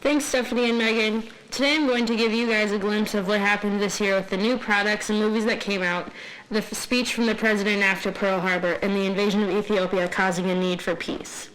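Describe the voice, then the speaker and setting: A young woman with a medium-pitched voice reads a prepared radio segment aloud. She speaks as the presenter, addressing listeners. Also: steady tone, brisk, a bit bright, poor audio. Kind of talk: scripted radio report, read aloud.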